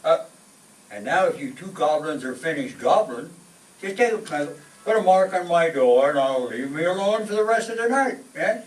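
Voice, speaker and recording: A man's voice: a little high, animated, moderate pace, lightly acted. A man playing a genial neighbor in a 1940s radio comedy. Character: genial neighbor man welcoming trick-or-treaters. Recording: echoey hall, distant, noisy.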